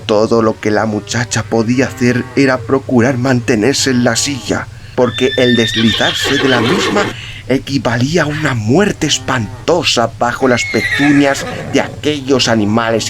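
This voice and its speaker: A man's voice: mid-range voice, a little slow, loud music behind the voice. A man narrating a literary story as an audiobook, reading from a script.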